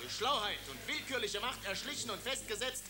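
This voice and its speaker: A man's voice: very high voice, sing-song, brisk, loud music behind the voice. An actor playing a fiery male radical, declaiming his manifesto fervently in a radio drama.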